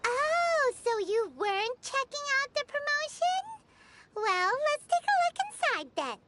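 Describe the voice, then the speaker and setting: A woman voicing a small, childlike fairy companion in a video game, chirpy and curious with a squeaky voice. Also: very animated, a little slow, heavy background noise, full character voice. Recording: game music behind the voice.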